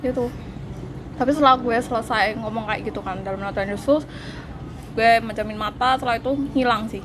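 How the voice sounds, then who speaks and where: A woman telling a story in casual chat, animated and laughing often. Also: fairly high pitch, a little fast. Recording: noisy background.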